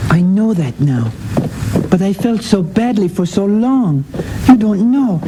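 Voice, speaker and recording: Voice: mid-range voice, lively intonation, unhurried, lightly acted. A performer playing an earnest, remorseful young boy in a radio drama. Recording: noisy radio broadcast recording.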